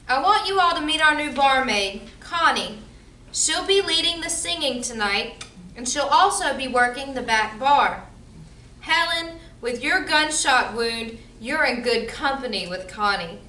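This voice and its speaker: A welcoming head bartender played by a woman in an amateur stage comedy. Her voice is high and bright. It is heard from a distance in an echoey room. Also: heavily acted. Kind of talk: amateur stage comedy radio play acting.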